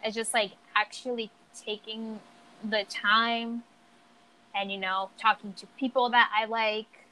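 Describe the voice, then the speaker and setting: A young woman with a slight Brazilian accent chats in a relaxed way. Her voice sits a little high, and her sentences wander through fillers and asides. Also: low-quality recording. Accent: slight Brazilian Portuguese. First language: Portuguese. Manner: chatty, lots of fillers like "like" and "um". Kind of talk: casual chat.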